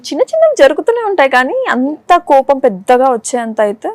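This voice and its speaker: A woman's voice: high voice, sing-song, fast, darkish tone, dry recording, faint music behind. A woman chatting informally as a talk show guest, mixing in English words. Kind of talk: casual chat.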